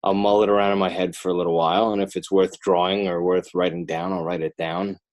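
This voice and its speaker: An American man talking casually as an interview guest, halting and thinking aloud with repeated false starts. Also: low-pitched, flat delivery. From northern New Jersey, United States. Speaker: interview guest, children's book author and illustrator.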